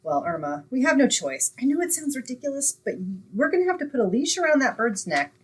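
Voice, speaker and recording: A woman acting a sensible, sarcastic, exasperated roommate in an old-time radio comedy, with a husky voice and dry, impatient delivery. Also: high voice, sing-song, fairly quick, a little hiss or hum. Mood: resigned, brisk.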